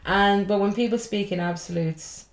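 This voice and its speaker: Brief: Irish woman comic on a podcast, mid-pitched, swears and rambles, in an echoey room. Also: normal speed. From Ireland. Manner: swears freely, rambling and jokey. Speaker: stand-up comedian, podcast guest. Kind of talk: casual podcast chat.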